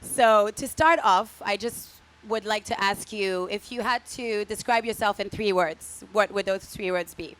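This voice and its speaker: A woman hosting a live fashion talk in front of an audience, with a middle-pitched voice. She speaks haltingly, often repeating small words before she finds her phrase. Her delivery is friendly and conversational. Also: low-quality recording. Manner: hesitant, repeats words, warm welcoming tone. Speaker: host. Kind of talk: live event interview. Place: event space with live audience.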